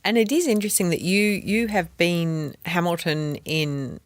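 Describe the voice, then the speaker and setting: A woman's voice: fairly low pitch, moderate pace, dry recording. A woman hosting an interview, asking inquisitive questions and giving frequent backchannel replies. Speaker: interviewer, host. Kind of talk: conversational interview.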